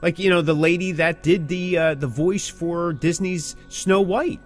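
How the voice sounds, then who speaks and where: A man's voice: on the high side, fairly even intonation, measured pace, soft background music. A man co-hosting a podcast, talking casually but emphatically, giving advice and repeating key points for stress. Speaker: podcast co-host. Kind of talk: casual talk about the music business, with scripted sign-offs.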